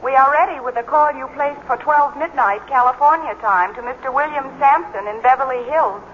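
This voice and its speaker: An impersonal woman telephone operator from old radio drama. The voice is high, brisk and formally singsong. It comes through dull sound with a little noise. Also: fairly bright tone. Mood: businesslike. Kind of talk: 1940s-50s radio drama acting.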